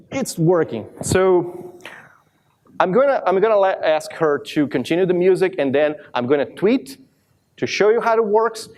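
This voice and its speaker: A man from Brazil in his thirties with a strong Brazilian accent, giving a lively live demo talk at a conference, with many restarts and self-deprecating asides. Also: fairly high pitch. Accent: Brazilian Portuguese. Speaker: conference speaker. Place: large conference hall with audience.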